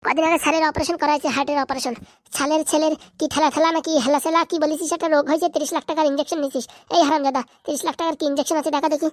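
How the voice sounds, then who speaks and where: Woman doing a high-pitched, rapid-fire scolding cartoon youngster. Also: steady tone, no room sound, clean studio sound, natural voice. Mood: angry, accusing. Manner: scolding, accusatory, mouthy. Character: indignant, sharp-tongued young relative exposing hospital fraud. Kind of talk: fast cartoon comedy dialogue.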